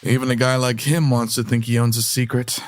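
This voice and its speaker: An actor playing a sly, menacing crook with theatrical hardboiled patter in a comedy adventure audio drama. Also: male voice, mid-range voice, level tone, brisk, slight background noise, natural voice. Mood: sly, conspiring.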